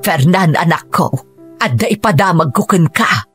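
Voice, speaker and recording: A woman's voice: fairly low pitch, lively intonation, normal speed, a bit bright, breathy edge, faint music behind. An actress with a Filipino Ilocano accent playing an earnest woman in a rural family radio drama. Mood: concerned, probing.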